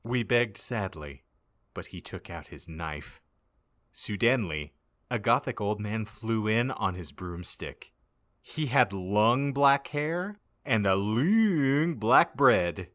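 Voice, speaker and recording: A man's voice: on the low side, lively intonation, slow, fairly bright tone. A man narrating comic fan fiction as a podcast host, dramatically reading out garbled misspellings as written.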